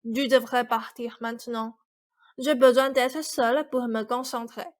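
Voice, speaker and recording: Woman as a slow, high-voiced grieving young Cinderella. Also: steady tone, close to an everyday voice. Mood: sullen, withdrawn. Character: grieving, stubborn young Cinderella clinging to mother's memory. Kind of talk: French radio play acting.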